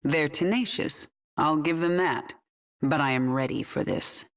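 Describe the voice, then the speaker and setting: A woman's voice: low-pitched, measured pace. An actress playing a ruthless alien swarm queen who speaks in a cold, controlled, commanding way.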